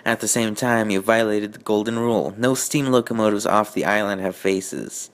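A man hosting a review show, reading aloud and commenting with mock-indignant, deadpan sarcasm. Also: lowish voice, normal speed. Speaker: host of a fan fiction review show.

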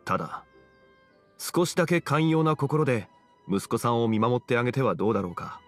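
A man plays a wise, courteous gentleman from a video game. He gives counsel in a composed, formal, measured manner, at a middling pitch, over faint music. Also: expressive, close to an everyday voice. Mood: gentle, reassuring. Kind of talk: video game dialogue acting. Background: soft background music.

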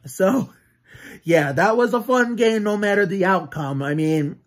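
A man's voice: sits high, expressive, measured pace. A man in his twenties in a casual home vlog, rambling and swearing about his team, with many restarts and fillers. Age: 20s. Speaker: sports fan vlogger. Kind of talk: casual sports vlog rant. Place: small room at home with bookshelves, indoors.

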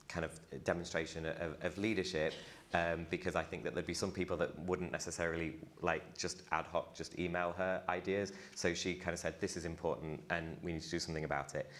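A man in his thirties with a low voice talks as a panelist. He speaks hesitantly with many fillers, picked up on a lapel mic in a university hall. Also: little pitch movement, a little fast, fairly bright tone. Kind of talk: panel discussion.

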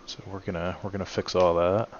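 Male host, deep and even delivery, relaxed filler-heavy garage walkaround, dull phone-recorded sound. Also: a little fast, slight background noise. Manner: relaxed, many "uh" fillers, addresses viewers as guys. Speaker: channel host showing his truck restoration. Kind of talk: casual walkaround narration. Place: home garage.

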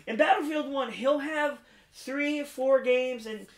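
High-voiced man chatting in a roomy space. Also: slow, over a noisy crowd. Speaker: co-host of gaming talk show. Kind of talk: casual chat about video games. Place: small room lined with display cases, indoors. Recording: roomy echo.

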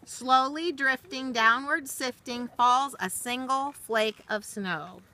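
A woman's voice: high voice, takes it slowly, bright, forceful tone. A middle-aged woman reading aloud to children as a storyteller, recorded outdoors on a camera a short distance away. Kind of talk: read-aloud of a rhyming picture book with casual asides. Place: outdoors in a snowy backyard. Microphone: camera or phone a short distance away. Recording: outdoor phone video, faint background noise.

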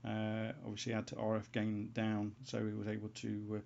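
Man, hobbyist tutorial voice-over, very even pitch, gravelly fry, hesitant, unscripted, lo-fi muffled sound. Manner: hesitant, halting, thinking aloud. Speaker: hobbyist presenter of a homebrew antenna tutorial. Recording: dull, lo-fi sound.

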